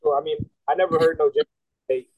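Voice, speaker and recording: A man's voice: on the high side, fast, husky, no echo. A young man from the Bahamas, a singer and songwriter, chatting casually as an interview guest, laid-back and slangy. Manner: laid-back, slangy, animated when making a point. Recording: tinny phone-like line, dry.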